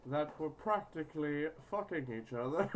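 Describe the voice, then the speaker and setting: A woman with a very low voice gives crude, casual game commentary, swinging widely in pitch. She stumbles as she reads aloud and swears freely, with faint noise behind her. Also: animated, moderate pace, darkish tone, poor audio. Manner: foul-mouthed, stumbling over reading. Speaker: gaming video host.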